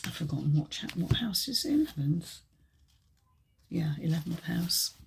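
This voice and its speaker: A woman reading tarot cards in a casual, chatty way, hesitant and full of ums, her voice still croaky from a chest infection. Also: fairly low pitch, big pitch swings, a little slow, a little mellow, slight background noise. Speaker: tarot reader.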